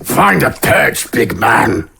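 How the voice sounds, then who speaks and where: A man voicing a gluttonous, domineering middle-aged baron in a fantasy audio drama, gruff and raspy, with imperious archaic rustic phrasing. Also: mid-range voice, big pitch swings, takes it slowly, sharp tone, slight background noise, heavily acted. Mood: brusque. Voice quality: raspy, gravelly.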